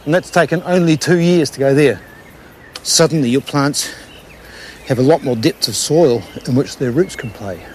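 A man's voice: a little high, normal speed, a little hiss or hum. A man explaining hands-on gardening in an earnest, plain-spoken way, repeating words for emphasis. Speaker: organic gardener. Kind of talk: informal explanation and demonstration to camera in a documentary.

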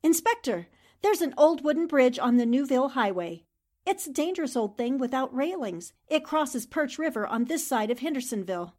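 An inquisitive, determined newspaperwoman, played by an actress in an old-time radio drama style. Her voice is high, and she talks in a brisk, probing, composed way. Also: big pitch swings, a little mellow. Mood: intent. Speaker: voice actor in an audio drama. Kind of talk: 1940s radio drama acting.

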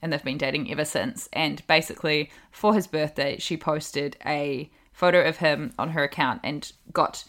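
Bright-voiced woman chatting. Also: low-pitched, moderate pace, hardly any pauses. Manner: chatty, laughs often, storytelling. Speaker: podcast co-host. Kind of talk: casual chat.

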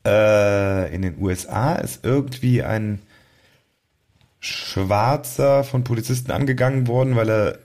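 A man's voice: on the low side, a little slow, a little mellow. A man hosting a podcast in casual chat, rambling a little and correcting himself mid-sentence. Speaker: podcast host. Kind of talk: casual chat about music.

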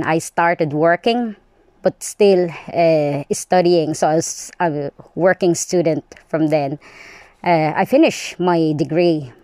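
A woman's voice: low voice, dark tone, dry recording. A woman from the Philippines, telling her life story plainly in an interview. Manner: slow, plain-spoken. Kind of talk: personal storytelling.

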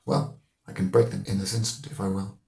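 A man's voice: low voice, level tone, fairly quick, creaky, dark tone. A man with an Irish accent reading literary narration as an audiobook reader. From Ireland. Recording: roomy echo.

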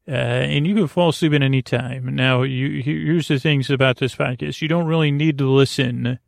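A man hosting a bedtime podcast in a gentle, reassuring, halting monologue that meanders and over-explains, with a creaky voice. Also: medium pitch, no room sound, studio quality. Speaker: podcast host.

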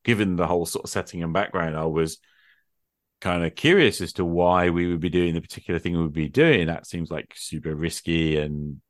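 Low-voiced man, musing in casual conversation. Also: fairly even intonation, a little fast, dry recording, studio quality. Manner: musing, hesitant phrasing with rhetorical questions. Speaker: podcast speaker, tabletop gamer.

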